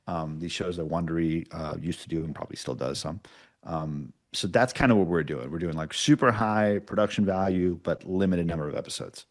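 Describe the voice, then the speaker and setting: A man's voice: sits low, brisk. A man speaking as an interview guest, explaining his work in an informal, conversational way. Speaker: podcast producer, interview guest. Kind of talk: casual explanatory interview talk.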